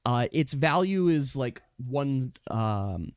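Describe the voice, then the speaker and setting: A man acting as game master, casually narrating a tabletop game in a halting, thinking-aloud manner. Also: mid-range voice, lively intonation, clean tone, dry recording. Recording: dry close mic with music behind. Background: music.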